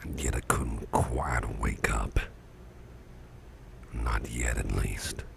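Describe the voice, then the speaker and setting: An extremely low, heavily creaking male voice acted by a man for an ailing, genial boss in an ASMR roleplay. He rambles in a folksy half-whisper, slowly and with long pauses, close on the mic. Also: background noise, exaggerated voice. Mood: musing, uneasy. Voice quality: very deep, gravelly, breathy half-whisper. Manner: slow, halting, folksy musing with pauses. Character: sickly, gruff but genial male boss. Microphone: close ASMR microphone.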